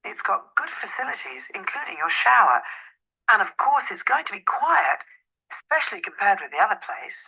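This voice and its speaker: A woman's voice: medium pitch, sing-song, normal speed, creaky, bright, forceful tone, husky, exaggerated voice, low-quality recording. A woman playing a friendly, helpful letting agent in a scripted listening-exercise dialogue. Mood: helpful, upbeat.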